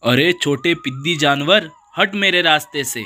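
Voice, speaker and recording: A man's voice: on the high side, unhurried, pushed and bright, pitched above the performer's own voice. A man voicing a big, short-tempered elephant in a cartoon animal story, domineering and taunting. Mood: angry threatening.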